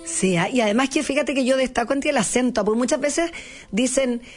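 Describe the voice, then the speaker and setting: A Chilean woman with a mostly neutral accent hosts a TV talk show, effusive and full of praise. She tends to repeat her words as she builds a point. Her voice sits a little high, with soft music faintly under it. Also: brisk. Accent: neutral Chilean Spanish. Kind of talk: enthusiastic TV interview.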